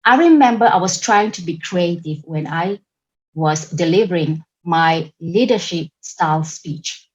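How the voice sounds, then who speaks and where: A woman with a Singaporean English accent delivering a prepared club speech over a video call, in a deliberate, emphatic manner. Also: fairly low pitch, animated, dull audio. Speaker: club member giving a speech.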